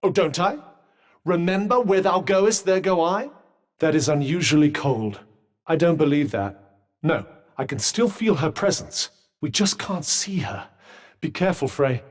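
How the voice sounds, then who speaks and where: A man's voice: fairly high pitch, expressive, measured pace. An actor voicing a sentient magical cuff in a video game, a dry, witty and protective male companion with a British accent and a formal, well-spoken, advising manner. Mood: measured advice. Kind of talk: scripted game dialogue. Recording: long reverberant tail on voice.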